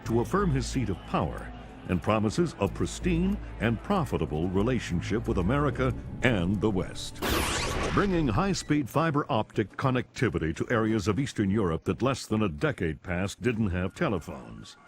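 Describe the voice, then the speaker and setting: A polished news anchor, fairly low in pitch with lively intonation. He reads scripted reports in a formal, measured announcer style. Music plays clearly underneath. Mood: formal, crisp. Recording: clear music bed behind the voice.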